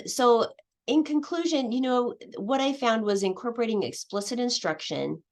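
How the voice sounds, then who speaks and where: A woman's voice: fairly low pitch, creaky. A woman giving a conference presentation on a video call, talking casually with many fillers and restarts. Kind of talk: casual presentation with audience questions.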